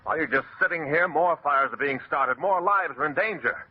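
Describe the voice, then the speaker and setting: A man's voice: fairly high pitch, animated, a little fast, husky. An actor playing an earnest, protective, insistent man in a 1940s radio horror play. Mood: urgent. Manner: urgent, insistent. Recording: tinny crackly old radio recording.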